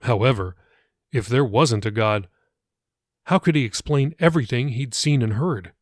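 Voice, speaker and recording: A man's voice: medium pitch, expressive, normal speed, dry recording, studio quality. A man reading his own fiction audiobook as a scripted narration.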